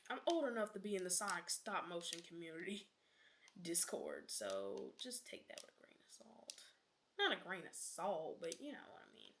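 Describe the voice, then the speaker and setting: A woman's voice: lowish voice, big pitch swings, normal speed, noisy background. A young woman streaming a game, chatting casually and rambling off on sidetracks, often correcting herself and dropping into whispered asides.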